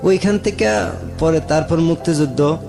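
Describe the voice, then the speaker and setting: A man's voice: fairly high pitch, moderate pace. A man in his late thirties with a Sylheti-region Bangladeshi accent, telling his own story plainly and respectfully as a radio guest. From rural Moulvibazar, Bangladesh. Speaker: interview guest. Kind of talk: personal storytelling in conversation. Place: radio studio. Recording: music playing behind the voice.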